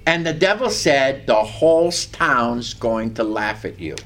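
An older man preaching a sermon with a loud, carrying voice, reading scripture and telling a personal story with animation. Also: medium pitch, lively intonation, a little slow.

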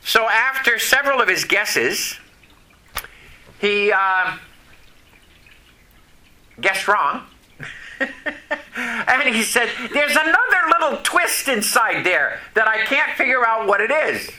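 A man preaching to his congregation in a high, lively voice that climbs and falls with each point. He speaks slowly and with a bright, forceful edge, and keeps stopping to ask the listeners questions. He grew up in Vermont, and his first language is French. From Vermont, USA. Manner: folksy, questions the congregation, pauses between phrases. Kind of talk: sermon.

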